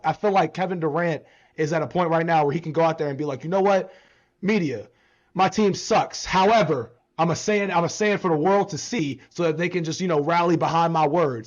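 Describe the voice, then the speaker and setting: A young man co-hosting a sports podcast with casual debate, recorded on a dull lo-fi mic. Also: fairly high pitch. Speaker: podcast co-host. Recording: dull, lo-fi microphone sound.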